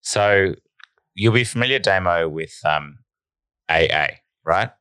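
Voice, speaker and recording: A man co-hosting a podcast, deadpan and a bit nasal, telling a mock-serious tall tale. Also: low-pitched, takes it slowly, clean tone, no room sound, clean studio sound. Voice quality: nasal at times. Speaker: podcast co-host. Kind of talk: casual podcast banter.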